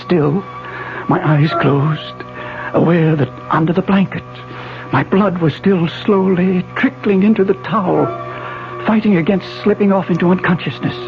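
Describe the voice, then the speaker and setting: An actor playing a wounded, dying man narrating his ordeal in a 1970s radio drama, deliberate, intense and suspenseful. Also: high voice. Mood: weary, hushed suspense. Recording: dull 1970s radio broadcast, music behind.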